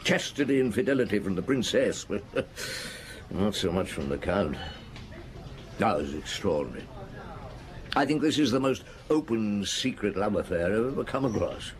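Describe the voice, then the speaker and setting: An actor playing a shrewd, unhurried police chief in a radio detective drama, pondering aloud with dry wit. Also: male voice, fairly low pitch, sing-song. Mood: musing. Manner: ruminative, hesitant, dryly wry. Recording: noisy old radio drama broadcast.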